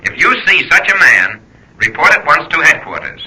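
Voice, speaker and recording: An actor in an old-time radio drama playing a formal, emphatic announcer reading a police bulletin. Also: male voice, on the high side, sing-song, normal speed, pushed and bright, slightly rough, full character voice, poor audio. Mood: official, urgent.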